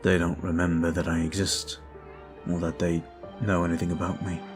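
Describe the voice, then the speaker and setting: A man voicing a brooding male vampire who is weary and guilt-ridden, talking slowly and softly in an ASMR roleplay. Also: deep voice, completely flat delivery, natural voice. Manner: slow, soft, confiding. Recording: faint music behind.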